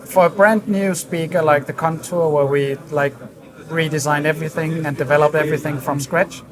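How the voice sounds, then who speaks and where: A man explaining his work as a design director in an interview, in a halting, thoughtful way. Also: a little high, fairly even intonation. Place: busy hi-fi trade show hall. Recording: crowd chatter around the voice. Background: crowd chatter.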